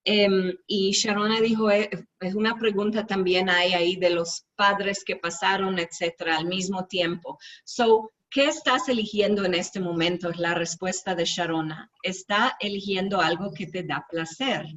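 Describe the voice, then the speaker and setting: A woman's voice: medium pitch, fairly even intonation, normal speed, few pauses, fairly bright tone, distant mic. A woman co-hosting an online talk who relays the other speakers' points. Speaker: co-host and interpreter. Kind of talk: casual online talk, interpreting.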